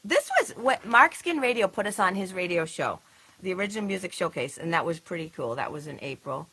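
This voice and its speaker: A woman's voice: lowish voice, expressive, a little fast, pushed and bright, slightly rough. A woman in a band chatting casually about past gigs on a livestream, with fillers and laughs. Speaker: band member co-hosting a livestream recap. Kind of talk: casual chat.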